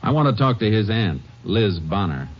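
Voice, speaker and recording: An actor playing a calm, methodical Texas Ranger in a 1950s radio drama, speaking in terse, measured, matter-of-fact lines. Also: male voice, on the low side, loud music behind the voice. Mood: firm, probing. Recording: dull vintage 1950s radio recording.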